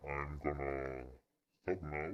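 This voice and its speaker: Man through a voice changer as a deep monster. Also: fairly even intonation, takes it slowly, clear, resonant tone. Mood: casual. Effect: pitch-lowering voice changer, monster setting. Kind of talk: casual gaming review chat. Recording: dull, muffled processed audio.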